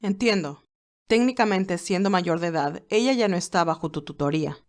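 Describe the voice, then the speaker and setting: A woman's voice: fairly low pitch, normal speed, lightly acted. A woman voicing a loyal, businesslike male assistant who speaks politely and formally in an audio story. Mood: attentive, composed.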